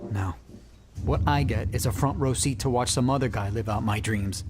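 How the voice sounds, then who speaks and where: A man's voice: mid-range voice, moderate pace, background noise, close to an everyday voice. A man playing a bitter, lovelorn struggling entertainer in a noir audio drama, wry, self-pitying and anxious, full of wisecracks. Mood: bitter, resigned.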